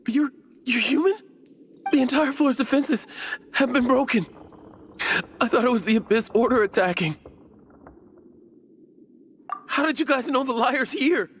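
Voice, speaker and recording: A man's voice: extremely high pitch, normal speed, long pauses, slight background noise. A man voicing a cowardly, panicked guard in a video game, stammering and frantic. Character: panicked cowardly male guard, pleading and threatening.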